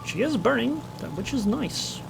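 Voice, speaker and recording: A man's voice: extremely high pitch, very animated, moderate pace, gravelly, music in the background, poor audio. A man gives casual live commentary over a naval battle game, thinking aloud about his next moves. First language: Estonian. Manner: thinks aloud, muttering plans and small reactions. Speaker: gameplay commentator. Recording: game sounds under the voice. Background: game engine and gunfire sounds.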